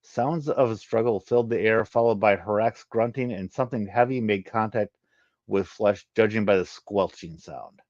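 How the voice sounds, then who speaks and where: Low-voiced man reading, roomy muffled sound. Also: darkish tone. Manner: halting reading with frequent stumbles and repeats. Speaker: reader of a web story, channel host. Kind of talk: read-aloud narration of a sci-fi adventure story.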